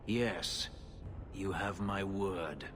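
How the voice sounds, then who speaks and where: An actor playing a brooding, bitter elf warrior and escaped slave in a video game, curt and intense. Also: male voice, sits low, extremely slow. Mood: cold contempt. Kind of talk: dramatic video game acting.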